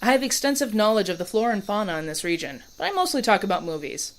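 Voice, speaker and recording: A woman's voice: mid-range voice, sing-song, fast talker. A woman playing a chatty, nerdy paramedic and data analyst in a comic parody. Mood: cheerful. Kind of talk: parody dub acting. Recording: clear background noise.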